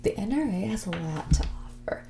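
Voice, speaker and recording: A woman playing a mean, snobbish rich young woman on a date in an ASMR roleplay, mixing breathy whispers with soft speech in a condescending, catty drawl. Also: medium pitch, expressive, moderate pace. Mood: snobby, smug.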